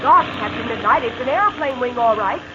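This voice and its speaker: An actress playing a plucky, excited young girl in 1940s radio acting on an old recording. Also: on the high side, fairly quick, close to an everyday voice. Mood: eager. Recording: old noisy lo-fi radio transcription.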